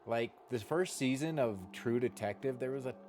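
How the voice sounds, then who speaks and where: A man's voice: mid-range voice, normal speed, darkish tone, slight background noise. A man rambling casually as he livestreams a game, informal and given to mild, clean exclamations. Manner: informal, mild euphemisms for swearing.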